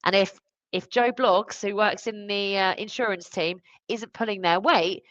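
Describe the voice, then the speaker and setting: A woman with a medium-pitched voice explains practical points in a live business discussion. She is conversational and emphatic, like a consultant advising clients. The sound is dry and slightly dull, as on a compressed webcast. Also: unhurried, fairly bright tone, dry recording. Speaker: recruitment automation consultant. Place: online live stream.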